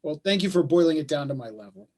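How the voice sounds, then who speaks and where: A man acting a gentle, self-deprecating father who speaks tentatively, in a staged play reading on a video call. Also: on the high side, expressive, quick pace, natural voice. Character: mild, hesitant, self-deprecating father of a clever son.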